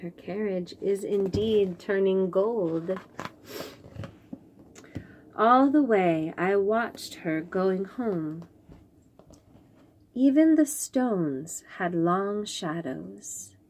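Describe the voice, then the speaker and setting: A woman storyteller reading picture books aloud to young children, gently and with wonder, pausing to chat to the listeners. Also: medium pitch. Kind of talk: expressive picture-book read-aloud with asides to young listeners.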